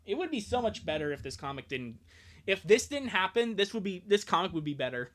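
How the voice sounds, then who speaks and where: A streamer giving casual, sarcastic live commentary on a fan comic, jokey and quick to swear. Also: mid-range voice, big pitch swings, fairly bright tone. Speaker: streamer and dub performer.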